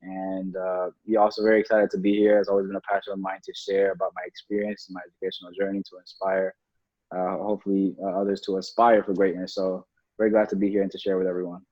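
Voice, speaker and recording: A young man from Kenya introduces himself on a video call, warm and polite. His voice is low and flat. The audio sounds muffled. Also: fast. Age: young adult. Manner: polite, warm, slightly hesitant. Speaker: panelist, college student.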